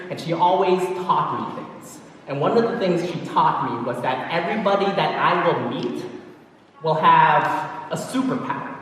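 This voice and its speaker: A young man performing spoken word poetry on stage, with a rhythmic, emphatic delivery and pointed pauses, slipping into relaxed storytelling between poems. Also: high-pitched, a little hiss or hum. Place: large hall, on stage. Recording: echoey hall from far off.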